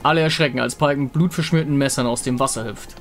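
A man's voice: mid-range voice, quick pace, a bit bright, faint music behind. A man reading scripted story narration as the narrator of a fantasy tale.

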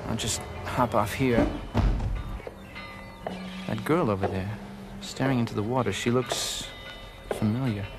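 An actor playing a dazed, hard-boiled private detective, wry and hesitant, in film-noir parody style. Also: male voice, on the low side, a little slow, pauses often, music in the background. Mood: wry, brooding.

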